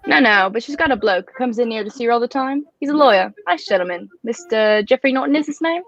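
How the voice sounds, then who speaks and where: Woman acting a high-voiced, matey pub keeper. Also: lively intonation, fast, a bit bright, dry recording, dull audio, faint music behind. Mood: gossipy. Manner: matey, slangy, chatty. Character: friendly, gossipy working-class pub keeper, former carriage driver. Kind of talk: 1940s radio drama acting.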